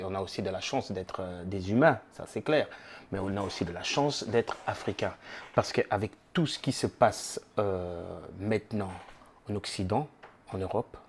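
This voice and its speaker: Young male vlog host from the Congo, low husky voice, loose chatty delivery full of restarts. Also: darkish tone. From Democratic Republic of the Congo. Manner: conversational, with many fillers and rephrasings. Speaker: YouTube channel host. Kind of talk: casual monologue to camera.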